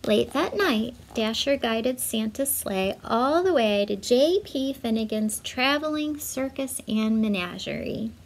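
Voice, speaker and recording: A woman reading a children's story aloud in an expressive, measured way, with asides to young listeners. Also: fairly high pitch, a little hiss or hum. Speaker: storybook reader for schoolchildren.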